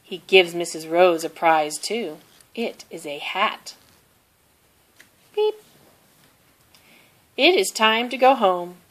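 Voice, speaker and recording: Slow-paced woman reading a children's storybook. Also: lowish voice. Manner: deliberate, simple storytelling with expressive quoted lines. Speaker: storybook reader. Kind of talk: children's picture book read-aloud.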